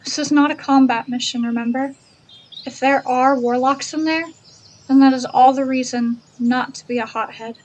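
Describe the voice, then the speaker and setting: A woman playing a cautious, firm adventurer who scolds and calms in a fantasy audio drama. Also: sits high, moderate pace, poor audio. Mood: scolding.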